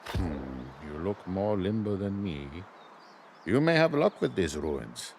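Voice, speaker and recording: An actor playing a weary, wry gravedigger in a video game, musing in a sly, dramatic way. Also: male voice, deep voice, very animated, slow pace, creaky, a little hiss or hum, heavily acted. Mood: sly, persuasive. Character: weary, wry gravedigger turned memorial crafter. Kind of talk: video game character dialogue.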